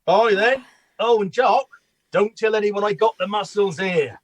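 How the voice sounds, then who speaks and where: A man's voice: high-pitched, unhurried, no room sound. A man acting a genial, slightly hapless village customer in a comedy radio play, chatty and mild-mannered.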